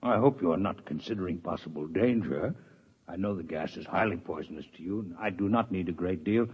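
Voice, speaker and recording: A man playing a calm, formal male alien doctor from another planet in a 1950s radio drama, speaking with precise, unhurried diction. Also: sits low, slightly rough. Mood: measured. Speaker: visiting alien scientist. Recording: dull lo-fi 1950s radio broadcast.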